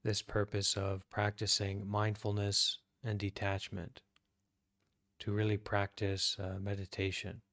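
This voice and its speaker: An American man, a Buddhist monk, leading a guided meditation in a calm, deliberate way with many pauses. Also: lowish voice, no room sound, clean studio sound. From United States.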